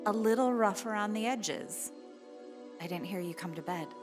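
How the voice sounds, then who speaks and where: An actress playing a gentle, caring wife who speaks with soft concern in a comic dub. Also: female voice, mid-range voice, normal speed, close to an everyday voice. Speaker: voice actor. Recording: music behind the voice.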